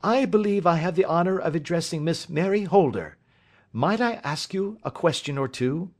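A man voicing a brisk, courteous male detective, inquisitive and precise, in an audiobook. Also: a little high, animated, voice pushed up. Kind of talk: audiobook character voice.

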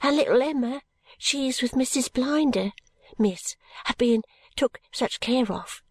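A woman voicing a timid, tearful, grateful little girl maid in an audiobook, with soft, breathy, halting and deferential unschooled speech. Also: sits high, no echo, pitched above the performer's own voice. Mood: tearful, earnest. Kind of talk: audiobook character voice.

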